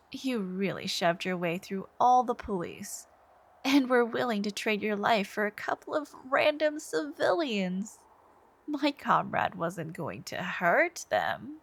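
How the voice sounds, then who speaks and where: Slow, coy villainess played by a woman. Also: medium pitch. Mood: smug, casual. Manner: coy, unhurried, theatrical, lapsing into breathy murmurs. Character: smitten young female supervillain stalker, sweetly menacing. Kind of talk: intimate ASMR roleplay monologue.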